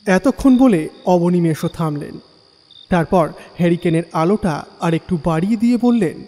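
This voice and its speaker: Mellow male narrator in long reverb. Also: high voice, animated, normal speed. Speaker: story narrator. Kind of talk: scripted horror narration, first person. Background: faint music.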